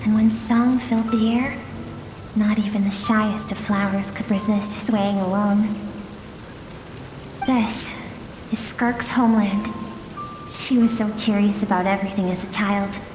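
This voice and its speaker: A woman's voice: medium pitch, unhurried, long pauses, natural voice. A woman as a wistful, echoing mysterious guide. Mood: wistful, nostalgic. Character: mysterious female guide recounting memories, gentle, wistful. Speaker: narrator and guide. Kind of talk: dramatic storytelling in a video game. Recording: echoing hall reverb with music.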